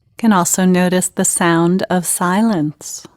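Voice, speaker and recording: A woman leading a guided meditation in an unhurried, gentle, instructional manner with pauses between phrases. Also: on the low side, steady tone, no echo, studio quality.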